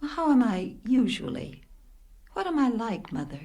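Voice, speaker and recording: A woman's voice: a little high, sing-song, normal speed, warm tone, a little hiss or hum. An actress playing a bewildered forty-one-year-old married woman in a radio play, hesitant, questioning and unsure of herself. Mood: anxious, pleading.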